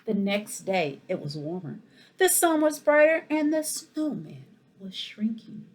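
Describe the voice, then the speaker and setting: A woman reading a children's story aloud in an expressive, animated way, with asides to her young listeners. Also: medium pitch, a little slow. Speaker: school principal reading a picture book aloud.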